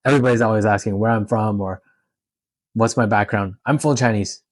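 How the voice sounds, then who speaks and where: Low-voiced man in a casual vlog. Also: brisk, no room sound. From Canada and Hong Kong. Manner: candid, informal, reads questions aloud then answers. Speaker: tattoo artist and vlogger. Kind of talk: casual question-and-answer vlog.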